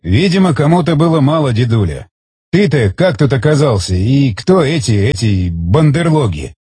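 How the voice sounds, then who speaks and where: Man as a wry, husky army sergeant. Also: medium pitch, big pitch swings, moderate pace, dark tone, no echo. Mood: matter-of-fact. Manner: colloquial, questioning, casual. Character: wry, blunt, curious male army sergeant.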